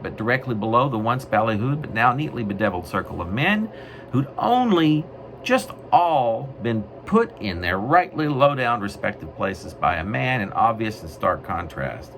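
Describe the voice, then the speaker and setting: A man reading his own poetry in a wry, mock-grandiose way, with deliberate, literary phrasing. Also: medium pitch. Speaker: poet reading own work. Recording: noisy amateur recording.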